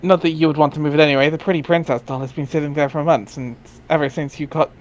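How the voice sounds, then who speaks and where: A man reading narration aloud in a dry, wry way, dropping in casual asides. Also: fairly high pitch. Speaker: reader in a group dramatic reading.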